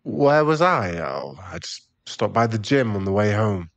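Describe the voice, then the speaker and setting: Man acting a moody low-voiced werewolf boyfriend. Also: big pitch swings, a little slow, gravelly, exaggerated voice. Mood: casual. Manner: snappish, defensive, sighing. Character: irritable hard-drinking werewolf boyfriend, defensive expectant father. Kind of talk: ASMR boyfriend roleplay audio drama.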